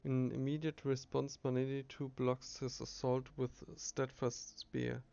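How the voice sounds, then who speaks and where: A man's voice: mid-range voice, level tone, measured pace, gravelly, warm tone, smooth. A man reading a game's story text aloud as he plays, with frequent fillers and restarts. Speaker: game streamer. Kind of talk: reading game text aloud while playing. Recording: dull lo-fi recording.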